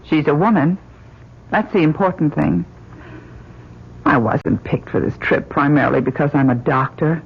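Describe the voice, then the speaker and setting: An American woman acting in an old-time radio drama as a devoted, anxious astronaut, doctor and wife, with a husky voice. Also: low-pitched, moderate pace, long pauses, a little hiss or hum. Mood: reluctant, grave. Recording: dull vintage radio drama recording.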